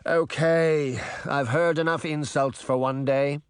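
A man's voice: fairly high pitch, steady tone, takes it slowly, clear, resonant tone, no echo, clean studio sound, pitched above the performer's own voice. A man voicing a grouchy, arrogant fairy-tale prince in a storybook, growling with indignation and self-pity. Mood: sulky.

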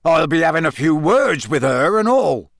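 A man's voice: fairly high pitch, sing-song, unhurried, vocal fry, fairly bright tone, slightly rough, no echo, clean studio sound, pitched above the performer's own voice, heavily acted. A man voicing a huge, hot-tempered, loyal giant of a man, growling in blunt rustic speech for an audiobook. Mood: grim, distrustful. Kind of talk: audiobook character acting.

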